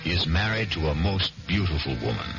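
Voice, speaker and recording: A very deep, creaky-voiced man hosts a radio mystery with deliberate, ominous narration. The old recording is dull, with music behind him. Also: sing-song, background noise. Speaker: host and narrator.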